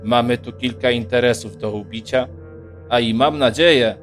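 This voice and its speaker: A man's voice: mid-range voice, normal speed, soft background music, close to an everyday voice. A man playing a haughty, shrewd margrave who commands a merchant caravan in a fantasy audio drama. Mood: shrewd, businesslike.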